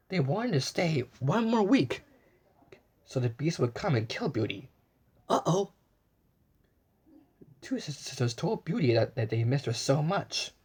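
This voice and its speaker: A man's voice: medium pitch, slightly rough. A man reading a storybook aloud as a storyteller, gasping, stumbling over words and adding his own comments along the way. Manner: expressive, gasps and chimes in with his own asides.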